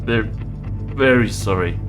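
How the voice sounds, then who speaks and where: A scruffy young drunk who swears at everything and rambles, scoffing at whatever he hears, as a game master puts him on. His low voice stays loose and sloppy over faint music. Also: moderate pace, close to an everyday voice, low-quality recording. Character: crude, scruffy young drunk man of the street.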